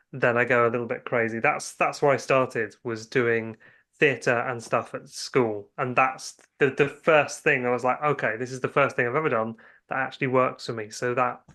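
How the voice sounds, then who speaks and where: Guy being interviewed about his writing, average pitch, chatty, bit halting, rambles into stories. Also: sharp tone. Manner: hesitant starts, rambling anecdotes, self-quoting. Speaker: guest writer and filmmaker. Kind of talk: casual interview chat about own creative work.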